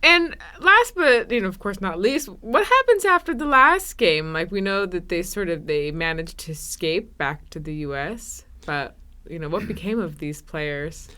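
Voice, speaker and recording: A woman's voice: fairly low pitch, big pitch swings, moderate pace, sharp tone, clear, resonant tone. A woman hosting a podcast, interviewing a guest in a conversational style.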